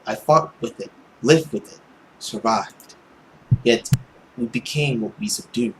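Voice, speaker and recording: A man voicing a brooding, cynical, tormented man in a visual novel, slow, hushed and solemn. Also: mid-range voice, noisy background, lightly acted. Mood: brooding, weary. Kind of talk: dramatic visual novel reading.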